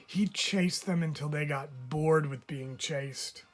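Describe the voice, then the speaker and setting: A man's voice: a little high, takes it slowly, soft background music. A man reading a children's story aloud like a teacher, drawing out phrase endings for emphasis.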